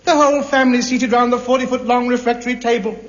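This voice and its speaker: A pompous, boastful bloke. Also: male voice, very high-pitched, steady tone, quick pace. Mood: grandiose. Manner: grandiose, indignant comic delivery. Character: pompous, self-deluding, boastful man. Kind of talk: 1950s radio sitcom acting. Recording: muffled old radio broadcast with hiss.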